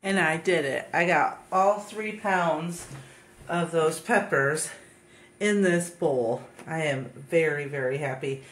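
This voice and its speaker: A woman's voice: sits low, slow. A woman with a stuffed-up nose chatting casually through a kitchen demonstration, thinking aloud with fillers as she works at home. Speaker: home cook vlogger. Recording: echoey kitchen with background noise.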